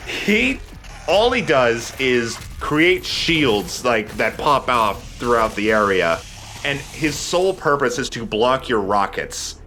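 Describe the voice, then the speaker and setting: A man's voice: mid-range voice, big pitch swings, slow pace, sharp tone, slight background noise. A man, a young adult, giving casual, joking gameplay commentary in a sardonic, grumbling, sweary way. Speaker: let's play host playing the game.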